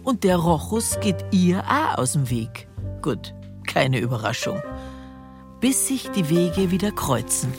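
Narrator: woman playing wry, knowing village gossip, Bavarian dialect, very low female register, audio drama storytelling. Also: very animated, slow pace, music in the background, full character voice.

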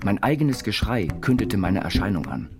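A man's voice: lowish voice, sing-song, normal speed. A man narrating literary autobiographical texts in the manner of a reader in an audio play. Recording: voice over prominent guitar music. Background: plucked guitar music.

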